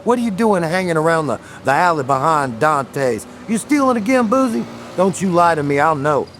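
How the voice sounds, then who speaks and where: An actor playing a weary, gruff police detective in a horror audio drama, with a wry, guarded, commanding manner. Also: male voice, on the high side, very animated, normal speed, fairly bright tone, a little hiss or hum.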